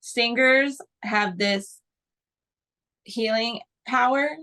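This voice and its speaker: A woman's voice: fairly high pitch, extremely slow, sharp tone. A woman co-hosting a podcast, talking casually and earnestly, with frequent restarts. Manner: earnest, spiritual talk, restarts. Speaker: podcast co-host.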